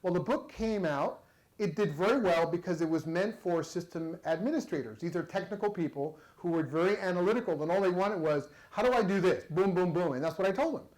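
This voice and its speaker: A man's voice: high voice, brisk, darkish tone, rough recording. Chatty male workshop presenter. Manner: conversational, chatty, repeats words. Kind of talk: workshop talk with audience.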